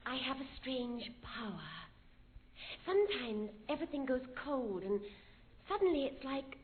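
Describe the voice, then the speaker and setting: A woman's voice: sits high, very animated, a little slow, a little hiss or hum. An actress playing a mysterious psychic woman with intense, dramatic delivery in a radio comedy sketch. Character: mysterious psychic woman claiming strange powers. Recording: tinny lo-fi radio broadcast.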